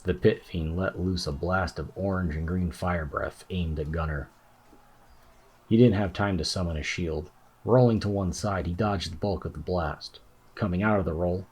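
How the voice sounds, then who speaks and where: A man's voice: deep voice, moderate pace, darkish tone, breathy edge. A man reading scripted fantasy narration as an audiobook narrator.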